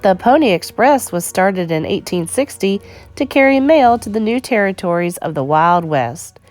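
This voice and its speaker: A woman reading an educational children's picture book aloud in a measured, clearly phrased storytime delivery. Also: mid-range voice, expressive, darkish tone, faint music behind.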